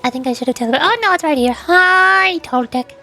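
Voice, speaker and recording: A woman's voice: high voice, brisk, pushed and bright, soft background music. A woman VTuber with a playful, cutesy, chatty manner, commenting on a game and reading its dialogue aloud.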